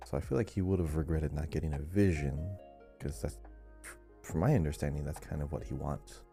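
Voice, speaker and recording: A man co-hosting a casual gaming quiz podcast, reading questions out in a measured, deliberate way. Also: extremely low pitch, creaky, dark tone, soft background music. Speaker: podcast co-host and quiz reader. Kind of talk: casual video game lore quiz podcast.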